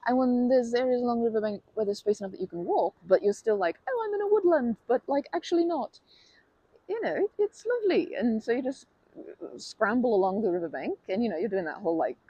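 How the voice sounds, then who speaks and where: A woman's voice: fairly high pitch, expressive, brisk, warm tone, slightly rough, no echo, dull audio. A woman voicing a polite, awkwardly formal stranger in an ASMR roleplay, rambling with many fillers and self-corrections. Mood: shy rambling.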